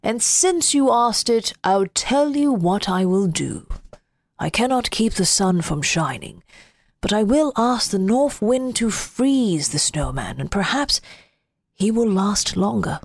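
A woman voicing a brusque old male Winter King in a storybook reading, with a gruff, commanding manner. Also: medium pitch, big pitch swings, slow pace, dry recording, clean studio sound, exaggerated voice. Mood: musing.

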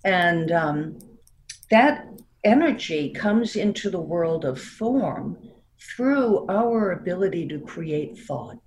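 A woman in her sixties with a New York accent, speaking as a therapist guest in a reflective, unhurried way with frequent light laughs. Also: on the low side, animated, darkish tone, slight background noise. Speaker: marriage and family therapist guest. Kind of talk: reflective interview talk.

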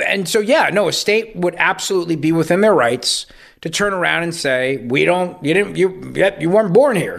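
Gravelly, sarcastic talk radio man. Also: a little high, a bit bright. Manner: sarcastic, acts out hypothetical quotes. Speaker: radio talk show host. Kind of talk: opinionated political talk radio monologue.

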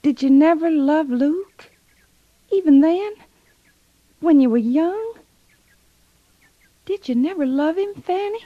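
Soft, high-voiced, weary young American woman. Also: animated, no echo. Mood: pleading. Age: young adult. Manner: soft, earnest, halting. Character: gentle, weary, pregnant young frontier woman, pleading. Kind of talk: old-time radio drama acting. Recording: dull vintage radio recording.

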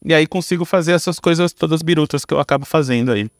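A Brazilian man with a fairly high voice speaks in a loose, informal interview style. He reflects aloud in slangy, conversational phrases. The recording is close and dry, with no room sound. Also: quick pace, no echo. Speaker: podcast guest, content creator and director. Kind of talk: casual reflective interview talk.

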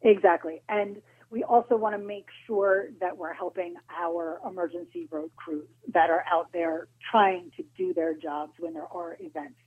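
A woman speaking as an auto club spokesperson, giving measured, practical advice with a few hesitations over the phone. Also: lowish voice, fairly even intonation, breathy edge. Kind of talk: informative interview answers. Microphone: phone line. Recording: tinny phone line.

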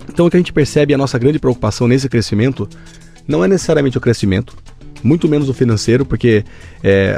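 A Brazilian man who runs a company, answering interview questions in an earnest, measured way with frequent hesitations. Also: mid-range voice, gravelly, warm tone. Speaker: company founder, guest.

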